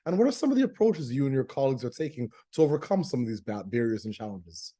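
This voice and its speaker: A man's voice: mid-range voice, very animated, fast talker, mellow. A man hosting an interview, gracious and ceremonial in his welcome and questions. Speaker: interview host.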